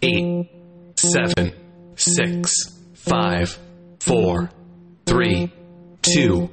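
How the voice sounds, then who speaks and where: A young woman counting down in a slow, low voice, with loud guitar music playing. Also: very animated.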